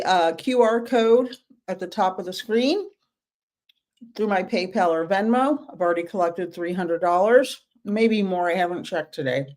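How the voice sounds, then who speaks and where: Lady doing a chatty live stream, voice a bit hoarse, says hi to folks by name. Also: medium pitch, a little mellow. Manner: greets viewers by name between remarks. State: hoarse, losing her voice. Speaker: book club host. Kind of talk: casual live-stream chat.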